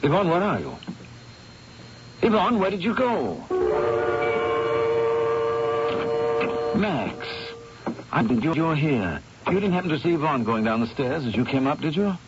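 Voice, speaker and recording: An actor playing a troubled, obsessive painter in a radio mystery drama, speaking with theatrical intensity and hesitant repetitions. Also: male voice, on the high side, big pitch swings, normal speed. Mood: agitated, bewildered. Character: troubled, obsessive male painter, fearful and awestruck. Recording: muffled vintage radio broadcast, music underneath.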